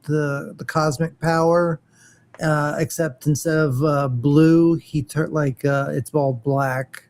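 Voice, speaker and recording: Male co-host, higher pitch, relaxed chatter, stammers and trails off. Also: takes it slowly. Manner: stammering, trails off searching for words. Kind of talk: casual chat.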